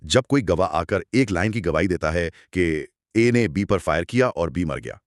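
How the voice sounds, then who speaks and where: Male explainer co-host: articulate, formal phrasing, highly expressive intonation, dry close sound. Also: moderate pace, no room sound. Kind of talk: scripted two-host explainer discussion.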